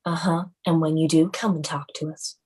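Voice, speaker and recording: A woman playing a dismissive, matter-of-fact video-game character with a husky voice in an animated film table read. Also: low voice, moderate pace. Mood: scornful.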